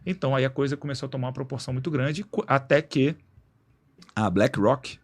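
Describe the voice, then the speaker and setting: A man being interviewed as a guest in a radio studio, explaining in a relaxed, slangy way with many restarts. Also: mid-range voice, brisk, slightly rough. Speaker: guest, executive director of an institute.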